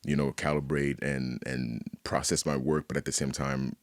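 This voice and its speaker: A man from Haiti telling personal stories as an interview guest in a casual, candid, animated way, often checking in with "you know". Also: rumbling low, moderate pace, no room sound, studio quality. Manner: animated, repeats "you know what I mean". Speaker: podcast guest, artist.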